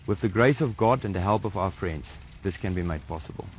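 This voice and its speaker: A man from South Africa delivers a formal statement in a low, measured voice. Faint ambient noise sits behind him. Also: lively intonation. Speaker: community spokesman. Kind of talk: prepared statement.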